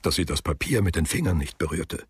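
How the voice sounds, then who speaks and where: A man narrating a literary radio drama as a sober, detached storyteller, with a deep and very husky voice. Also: expressive, brisk, dry recording, exaggerated voice.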